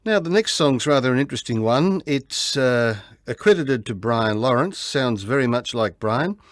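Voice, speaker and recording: A man with an Australian accent presenting a music programme on community radio in an informative, measured way. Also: mid-range voice, expressive, no echo, studio quality.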